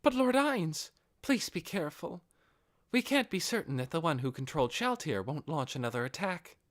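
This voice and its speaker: Beautiful guardian captain, voiced by a man: high, courteous, earnest and devoted servant for fantasy audiobook. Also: sing-song, moderate pace. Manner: polite, restrained, deferential. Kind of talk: audiobook character acting.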